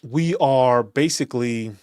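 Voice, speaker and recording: A man, a veterans' channel host, speaking in a casual, animated rant, often restarting and repeating phrases. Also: mid-range voice, measured pace, dry recording. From New York City. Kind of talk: casual opinion talk.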